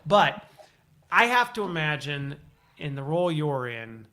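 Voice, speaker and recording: A man's voice: on the high side, sing-song, a little slow, pushed and bright. A talkative man hosting a conversational interview, thinking aloud, recapping and asking curious questions. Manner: talkative, inquisitive, recaps and checks back. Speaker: show host and interviewer.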